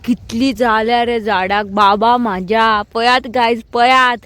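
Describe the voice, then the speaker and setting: A woman's voice: a little high, steady tone, a little slow, a bit bright, clear, resonant tone. A woman from Goa with a Goan accent, chatting casually as a vlogger. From Goa, India. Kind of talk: casual chat.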